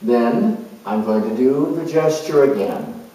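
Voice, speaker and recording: Slow, deliberate man in a very echoey room. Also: mid-range voice, animated, warm tone. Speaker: astrologer, presenter. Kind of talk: narrated astrological dramatization. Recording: very echoey room, distant microphone.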